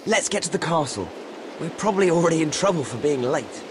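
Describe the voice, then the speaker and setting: A man's voice: on the high side, expressive, brisk, noisy background, lightly acted. A man with a British accent voicing an earnest, suspicious, stubborn teenage boy wizard in a video game. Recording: loud music behind the voice.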